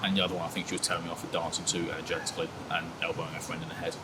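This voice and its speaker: A man's voice: deep voice, monotone, racing, noisy background. A man in his twenties being interviewed, chatting casually and laughing at himself, with lots of "sort of" and "like". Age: 20s. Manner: laid-back, self-mocking, hedging with fillers. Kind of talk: candid casual chat.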